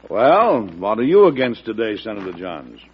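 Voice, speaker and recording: An actor playing a good-humored, wry president, teasing but firm, in a 1940s radio drama. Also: male voice, on the low side, sing-song, moderate pace, slight background noise. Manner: jovial and teasing, then firm. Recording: dull old radio recording.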